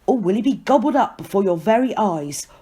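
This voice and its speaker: A woman's voice: mid-range voice, animated, a little fast, dark tone, breathy edge. A woman voicing a grandiose male fairground showman, declaiming a daring act theatrically in a storybook. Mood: suspenseful.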